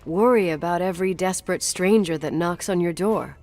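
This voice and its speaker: A woman's voice: on the low side, fairly quick, darkish tone, soft background music, natural voice. Guarded, wry young woman played by an actress. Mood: dry teasing. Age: young adult. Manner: dry, teasing, guarded. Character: strong-willed, guarded, wry young woman haunted by her past. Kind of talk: naturalistic video game voice acting.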